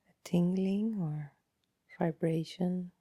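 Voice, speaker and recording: Dark, husky woman guiding meditation softly. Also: fairly low pitch, no echo, studio quality. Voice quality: soft, breathy, often trailing into near-whisper. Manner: calm, unhurried, gentle instructing with long pauses. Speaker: meditation guide. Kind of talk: guided body scan meditation, slow and soothing.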